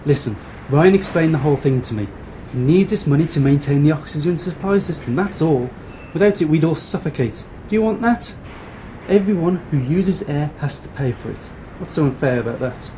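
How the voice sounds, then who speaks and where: A man's voice: a little high, lively intonation, a little fast, dark tone, slight background noise, lightly acted, low-quality recording. A man playing a stern, exasperated newspaper editor in an amateur radio play, reasoning and admonishing as he speaks.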